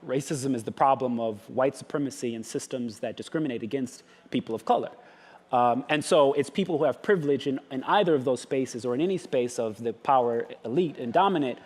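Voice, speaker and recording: A man's voice: medium pitch, a little fast, a little mellow. A man speaking as a panelist at a live conference discussion, earnest and thoughtful, with frequent fillers and restarts. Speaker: nonprofit founder, panelist. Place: conference hall. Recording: long hall reverb.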